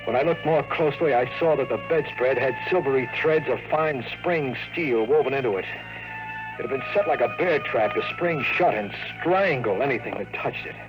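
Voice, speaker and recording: A man's voice: mid-range voice, moderate pace, lightly acted, low-quality recording. An American man acting a sardonic, hard-boiled private detective in a 1950s radio drama, delivering flippant lines and noir narration. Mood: brooding narration. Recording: vintage radio recording with music.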